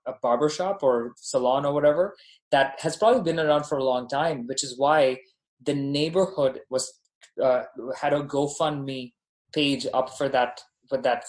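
Podcast guest, male founder raised in Dubai. Medium-pitched voice, casual delivery, earnest and emphatic. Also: darkish tone. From born in Bahrain, grew up in Dubai. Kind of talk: casual conversational interview.

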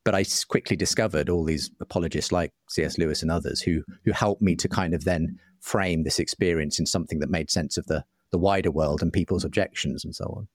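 Low-voiced man, reflective and searching. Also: a little fast, few pauses, no echo, clean studio sound. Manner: hesitant, self-qualifying, searching for words. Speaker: interview guest, writer and broadcaster. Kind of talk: reflective personal conversation.

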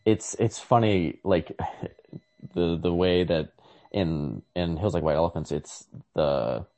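Low-voiced man, halting in book discussion. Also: dark tone. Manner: halting, tentative, self-correcting. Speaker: podcast co-host. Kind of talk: casual literary discussion.

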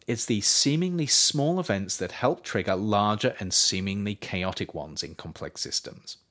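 A man narrates his own nonfiction book, his voice sitting on the low side. He reads in a measured, emphatic way, swinging his pitch up on key words and letting it sink at each sentence's close. The effect is an animated, thoughtful reading. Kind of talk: audiobook narration.

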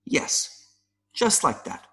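A man playing an adult male mayfly in an audio play, nervous and earnest, pleading haltingly with little buzzing sounds. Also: pushed and bright. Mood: resigned, earnest. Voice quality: buzzing interjections between phrases.

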